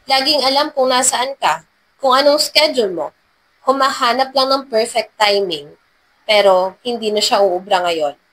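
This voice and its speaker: A woman's voice: on the high side, expressive, normal speed, fairly bright tone, low-quality recording. A woman voicing a guarded, blunt female former security agent in a romance story. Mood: alert, firm. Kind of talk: dramatized romance story narration.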